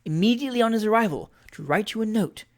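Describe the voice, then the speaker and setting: Cool, quiet, scheming lady of forty, as a narrator plays her. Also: mid-range voice, lively intonation, voice pushed up, close to an everyday voice. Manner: quiet, measured, dry and imperious. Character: cool, scheming, self-possessed buxom rich lady of forty.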